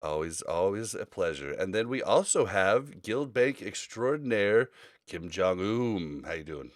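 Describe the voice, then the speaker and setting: A man's voice: sits low, expressive, measured pace, no echo. A man hosting a casual gaming podcast, speaking conversationally into a close mic. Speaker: podcast host.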